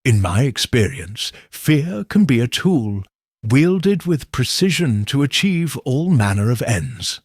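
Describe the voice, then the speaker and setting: A man voicing a mild, shrewd elderly woman sleuth in audiobook dialogue, soft and measured. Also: medium pitch, sing-song, breathy edge, no echo, studio quality, full character voice. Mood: calm, knowing.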